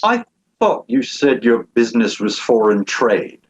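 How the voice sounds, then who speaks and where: A man acting a stuffy, incredulous young gentleman in a period comedy read, on a dull lo-fi microphone. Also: medium pitch, sing-song, unhurried.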